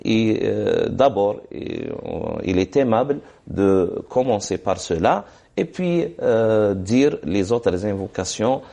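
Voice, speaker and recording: A man's voice: fairly low pitch, expressive, takes it slowly, creaky, warm tone. A man giving religious advice on a TV program, speaking hesitantly with many fillers and reciting Arabic formulas. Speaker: religious scholar answering questions. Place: TV studio.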